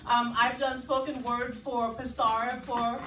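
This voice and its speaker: A woman spoken word poet with a loud voice performing live, rhythmic and emphatic, slowing down to lean on key phrases. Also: fairly high pitch, flat delivery, a little hiss or hum. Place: event hall at a labor office. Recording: echoey hall, voice heard from a distance.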